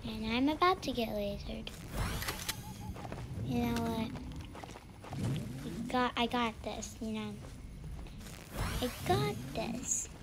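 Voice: mid-range voice, animated, slow, pauses often, heavy background noise. A child casually commentating on their own video game play, with the voice run through a voice changer.

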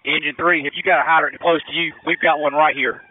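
A man's voice: on the high side, fairly even intonation, fast, dry recording, narrow, tinny audio. A man speaking as an engine firefighter in emergency traffic over two-way radio. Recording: thin, dry two-way radio audio.

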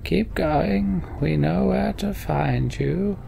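A man's voice: high-pitched, slow, gravelly, mellow, pitched above the performer's own voice, full character voice. A man voicing a stubborn, brusque old warrior in a video game. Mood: resolute. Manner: brusque, unsentimental. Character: stubborn old male warrior volunteering to fight.

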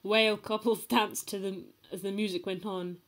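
A woman's voice: mid-range voice, fairly even intonation, moderate pace. A woman giving a comedic, mock-dramatic reading of fanfiction, playful and giggly, breaking off to comment. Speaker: fanfiction reader.

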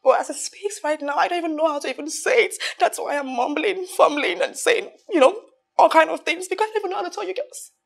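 A woman's voice: very high-pitched, quick pace, vocal fry. An actress with a Nigerian accent playing a self-pitying, domineering young businesswoman in a film drama, with a dramatic, repetitive, pleading delivery. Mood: anguished, hesitant. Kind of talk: emotional film drama acting.

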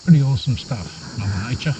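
A man's voice: medium pitch, lively intonation, fast. Casting: laid-back Australian male vlogger, fifties, casual chat, heavy outdoor noise. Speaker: motorcycle vlogger. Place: outdoors, on motorbike, roadside and open shelter. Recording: noisy outdoor action camera with vehicle and insect sounds.

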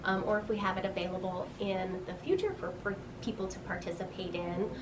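A young woman speaks as a museum director, earnest and informative, with many "um"s. Her voice sits at a middle pitch. She is picked up from a few feet away, so her voice is a little distant, and a steady hum of room noise runs underneath. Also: fairly quick. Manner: earnest and informative, with frequent "um" fillers. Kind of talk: informal on-camera explanation to a visitor. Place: small museum room indoors. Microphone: camera a few feet away.

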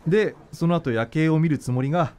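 A man's voice: fairly high pitch, brisk, mellow, clear, resonant tone, lightly acted. A man acting in a radio drama as an earnest young doctor in love, speaking informally and from the heart. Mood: casual, tender. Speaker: voice actor.

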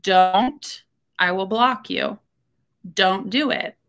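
A young woman from Texas chats straight into her webcam from a room at home. Her voice is bright and forward, and she talks candidly with quick joking asides, like a golf podcast co-host running through the week's news. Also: medium pitch. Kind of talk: casual solo talk to camera.

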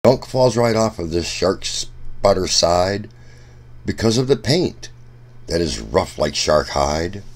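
A man reading a rhyming children's book aloud in a deliberate, sing-song storytelling manner. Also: sits low, sing-song.

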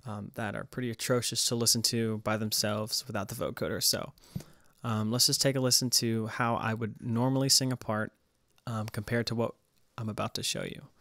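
A man's voice: fairly low pitch, flat delivery, moderate pace, fairly bright tone, dry recording. Dry-sounding man giving a casual tutorial. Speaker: tutorial host. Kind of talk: casual music production tutorial.

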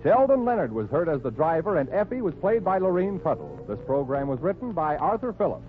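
A male announcer from 1940s radio reads his script with animated, fairly high pitch. The sound is muffled, with music loud behind him. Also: brisk. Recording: muffled vintage radio recording.

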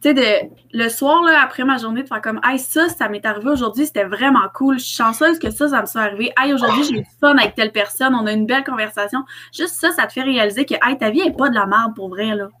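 A young woman speaks French with a Québécois accent in a fairly high voice. Her delivery is informal and encouraging, as in a casual group chat over a computer video call. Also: quick pace, hardly any pauses, bright, forceful tone, a little hiss or hum. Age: young adult. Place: bedroom at home, on a video call. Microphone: computer mic on video call.

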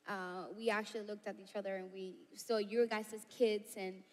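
A woman's voice: mid-range voice, level tone, moderate pace, darkish tone. Puerto Rican woman in a reverberant hall. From Puerto Rico. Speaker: worship team singer, guest. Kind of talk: casual interview chat. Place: church stage, large hall.

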